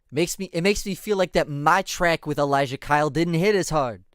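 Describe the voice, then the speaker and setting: A man's voice: on the high side, moderate pace, a bit bright, clean tone, dry recording, clean studio sound. A man in his twenties giving laid-back, chatty reaction commentary on music. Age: 20s.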